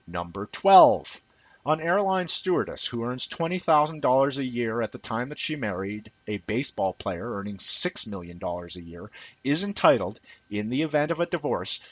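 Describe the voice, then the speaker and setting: A man's voice: medium pitch, fairly bright tone, slightly rough, no echo, poor audio. Dry, close-sounding man reading an essay emphatically. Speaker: reader of an essay. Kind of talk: scripted essay reading, audiobook-like narration.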